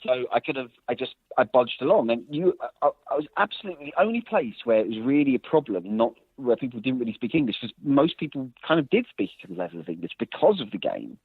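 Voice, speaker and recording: A man's voice: mid-range voice, expressive, fast, hardly any pauses, breathy edge, no room sound. A man, a journalist and author, telling long stories in a casual interview, rambling with many restarts and fillers. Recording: dry, phone-like line.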